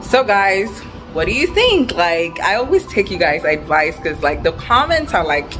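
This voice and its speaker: A young woman chatting casually and excitedly to viewers as a vlogger and business owner, recorded on a camera at arm's length. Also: mid-range voice, normal speed, over music. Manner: excited, upbeat. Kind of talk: casual vlog chat to viewers. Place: outdoor storefront and bare empty shop room.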